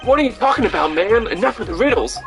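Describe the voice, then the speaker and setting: A woman's voice: a little high, expressive, quick pace, gravelly, music in the background, voice pushed up. A woman voicing a brash, incredulous young male defense attorney in a video game, blurting things out in exasperation.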